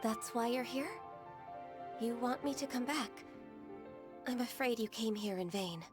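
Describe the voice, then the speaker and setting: A woman plays a gentle half-divine secretary whose voice sits a little high, soft and measured. She sounds wistful and calm. Faint music plays beneath her. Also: natural voice. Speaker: game character.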